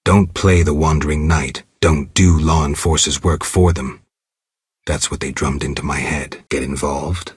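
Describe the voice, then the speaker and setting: A man voicing a stoic, world-weary monster hunter in a video game, with a gravelly, husky voice and terse, deadpan delivery. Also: rumbling low, a little slow, dry recording, studio quality, exaggerated voice. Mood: brooding, self-questioning. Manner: terse, deadpan, clipped phrases. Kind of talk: video game monologue, reflective narration.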